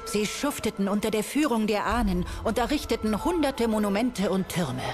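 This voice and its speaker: A woman playing a solemn storyteller, narrating ancient legends in a fantasy game with measured, grave drama. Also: mid-range voice, lively intonation, natural voice. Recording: loud music behind the voice. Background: prominent music.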